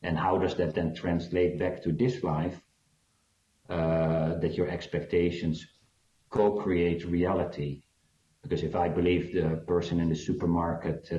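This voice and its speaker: Deep-voiced hesitant man in a roomy, dull recording. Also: warm tone. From South Africa. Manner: hesitant, many fillers, reflective. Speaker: guest, author. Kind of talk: conversational interview answer.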